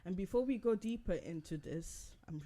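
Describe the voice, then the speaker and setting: A woman from Switzerland hosting a casual group podcast, asking quick questions and laughing often. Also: medium pitch, lively intonation, dark tone. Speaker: podcast host. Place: indoor podcast recording.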